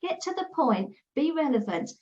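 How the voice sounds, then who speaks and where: A woman's voice: sits high, sing-song, normal speed, a little mellow, muffled recording. A woman talking as a guest expert, giving direct, practical PR advice in a casual chat and often repeating her points.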